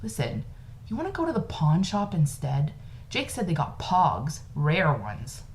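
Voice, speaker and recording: A woman's voice: low voice, wildly swooping pitch, measured pace, full character voice. A woman voicing a bossy, teasing young boy, a know-it-all older brother, in a novel reading. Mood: coaxing.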